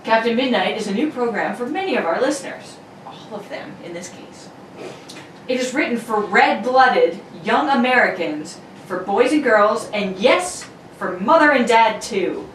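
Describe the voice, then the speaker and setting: A woman's voice: on the high side, expressive, moderate pace, fairly bright tone. A woman hosting and announcing a script with playful, jokey delivery. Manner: playful, giggly asides. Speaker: host and announcer. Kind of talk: jokey introduction and old-radio script reading. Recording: roomy, distant mic with faint noise.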